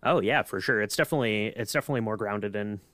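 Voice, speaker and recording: A man on a podcast panel giving his opinions in casual, halting discussion. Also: on the low side, a bit bright, no echo.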